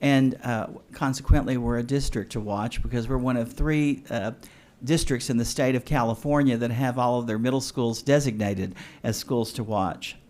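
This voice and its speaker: Halting man announcing student awards. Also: medium pitch, fairly even intonation, darkish tone. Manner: halting, with frequent uh and restarts. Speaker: school district official presenting awards. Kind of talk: meeting announcements.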